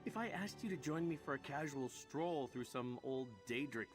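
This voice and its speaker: A roguish fantasy game knight, acted by a man, heroic yet wry. He speaks conversationally in a mid-pitched voice over clearly audible music. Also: animated, moderate pace, natural voice. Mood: wry, troubled. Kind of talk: scripted game dialogue.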